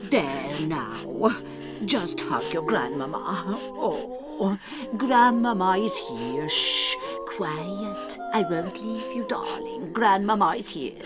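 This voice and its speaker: An actress playing a doting, gravely dramatic old Norwegian grandmother in an audiobook drama, telling things with theatrical emphasis. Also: mid-range voice, very animated, over loud music. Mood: tender, soothing. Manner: theatrical, emphatic, slow and deliberate storytelling.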